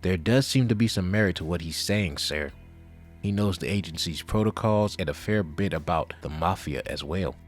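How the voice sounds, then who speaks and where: A man playing a reasonable, polite police detective in a comic book audio drama, measured and deferential. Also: low voice, faint music behind, lightly acted. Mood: calm reasoning.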